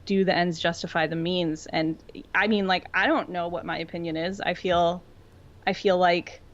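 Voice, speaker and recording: A woman co-hosting a podcast, casually discussing fiction in an earnest, thoughtful, informal way. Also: lowish voice. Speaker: podcast co-host. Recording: dull lo-fi audio.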